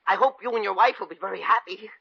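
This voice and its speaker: A man's voice: high voice, fast, creaky, husky, no echo, heavily acted. An actor playing a nervous, well-meaning young man who stammers and hesitates in a 1950s radio comedy. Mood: nervous, apologetic. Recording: dull old radio broadcast recording.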